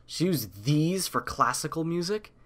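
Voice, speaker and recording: A man's voice: a little high, animated, a little slow, fairly bright tone. A man reading scripted story narration as the narrator of an audio tale.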